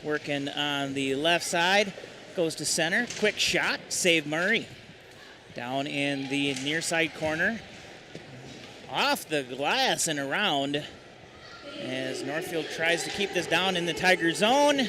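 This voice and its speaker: A man calling a hockey game play-by-play, rattling off each pass and shot at a brisk clip. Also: on the high side, noisy background. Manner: brisk, rattling off puck movement, excited on goals. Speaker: play-by-play announcer.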